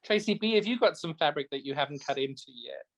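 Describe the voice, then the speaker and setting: An effusive man from Zimbabwe co-hosting a relaxed podcast chat, his voice sitting a little high for a man. He tells long stories with open enthusiasm. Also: quick pace, creaky. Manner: warm, effusive storyteller. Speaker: podcast co-host. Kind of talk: casual chat.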